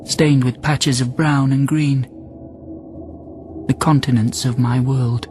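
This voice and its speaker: An actor playing a lonely immortal prisoner on a space station, narrating reflectively in a measured radio drama monologue. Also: male voice, medium pitch, steady tone, a little hiss or hum, close to an everyday voice. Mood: reflective, haunted. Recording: loud music bed under the voice.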